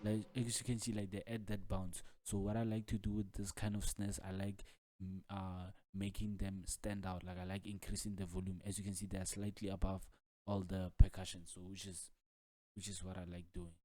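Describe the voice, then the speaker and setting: A man's voice: sits low, flat delivery, fairly quick, dark tone. A man giving an informal music production tutorial, explaining casually and loosely, repeating himself and peppering his talk with "like" and "man". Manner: casual, repetitive filler-heavy explaining with frequent "like" and "man". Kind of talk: informal software tutorial walkthrough.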